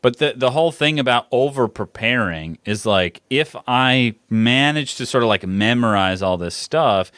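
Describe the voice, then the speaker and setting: A man's voice: mid-range voice, animated, normal speed, few pauses, fairly bright tone, clean tone, dry recording, clean studio sound. A man co-hosting a casual podcast, thinking aloud and rambling about running his tabletop game. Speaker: podcast co-host and game master. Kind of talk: casual chat.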